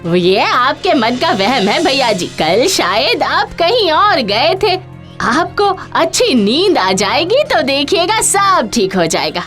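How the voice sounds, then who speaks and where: Cartoon horror role: a calm young woman, high and slow, gently coaxing. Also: faint music behind, full character voice. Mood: reassuring. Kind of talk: animated horror story acting.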